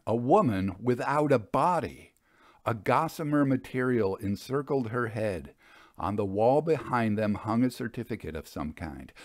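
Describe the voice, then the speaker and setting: A man narrates a scripted story. His voice sits at a middle pitch with widely varied intonation. The recording is very dry, with no room sound. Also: dry recording.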